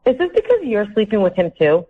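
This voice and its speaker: A woman's voice: medium pitch, very animated, fast, narrow, tinny audio, a little hiss or hum. A woman in a guarded phone conversation, answering in a curt, defensive way. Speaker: person phoned by a radio show.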